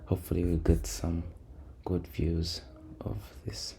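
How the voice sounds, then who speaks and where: A man with a low, creaky voice gives casual, hesitant, low-energy commentary as he works through a trading screen, repeating words as he thinks aloud. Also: monotone, unhurried, mellow. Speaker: trader recording a backtesting session. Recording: noisy room, keyboard and typing sounds.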